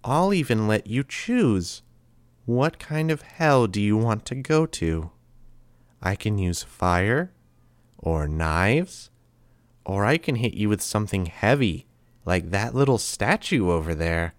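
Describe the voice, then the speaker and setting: A man voicing a cruel, calm immortal boy who talks softly and slowly with flat, cheerful menace. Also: on the low side, no echo, pitched above the performer's own voice. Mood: coldly menacing. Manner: soft, slow, menacing and flatly cheerful. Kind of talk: audiobook character voice.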